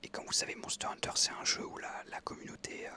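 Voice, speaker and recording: A man in his twenties whispering a relaxed personal story while out on an evening walk, hesitating often with fillers. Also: quick pace. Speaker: ASMR creator.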